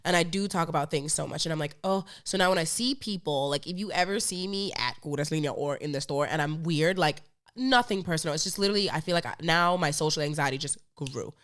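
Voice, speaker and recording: A woman's voice: low voice, quick pace, fairly bright tone. A young woman who speaks English natively, chatting casually and candidly as a podcast guest in a bubbly way, peppering her talk with "like". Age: young adult. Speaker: podcast host appearing as interview guest. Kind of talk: casual chat.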